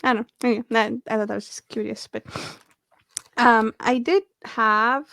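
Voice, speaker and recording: A woman's voice: on the high side, steady tone, normal speed, creaky, fairly bright tone, dry recording, studio quality. A woman in a casual book discussion, talking in a rambling, enthusiastic way.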